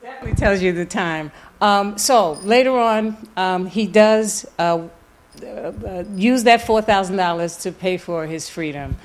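A woman's voice: mid-range voice, unhurried. A woman lecturer telling a history informally, drawing out key words for emphasis. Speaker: lecturer and author. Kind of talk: informal lecture with audio clips.

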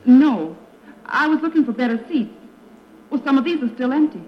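A woman's voice: high voice, animated, moderate pace. Proud wounded young girl, earnest dramatic narration, vintage radio play, muffled and noisy. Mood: innocent, defiant. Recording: echoey, muffled, noisy old radio recording.

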